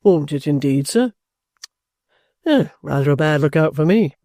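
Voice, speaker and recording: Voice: mid-range voice, normal speed, warm tone, dry recording, studio quality, pitched below the performer's own voice, natural voice. A reader voicing a bluff, stubborn ex-soldier in an audiobook, courteous, plain-spoken and offhand. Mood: good-humoured. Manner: respectful, offhand, self-possessed.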